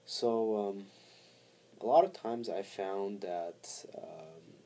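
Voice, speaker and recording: Guy doing a casual vlog, low creaky voice, kinda halting, thinks out loud. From born in Montreal, Canada, raised in Armenia from four to seventeen. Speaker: vlogger. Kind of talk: casual personal talk to camera.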